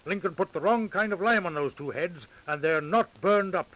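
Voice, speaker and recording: An actor playing a shrewd, self-assured police detective in a 1930s radio crime drama. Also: male voice, high-pitched, normal speed, fairly bright tone, poor audio. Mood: triumphant. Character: confident, shrewd, persistent male police detective. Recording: vintage 1930s radio recording.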